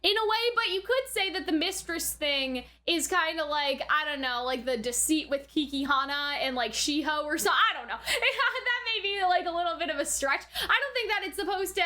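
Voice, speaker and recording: A woman's voice: high voice, pushed and bright. A woman hosting a casual, chatty commentary and recap, often checking in with "right?". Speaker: host of an anime reaction and review channel.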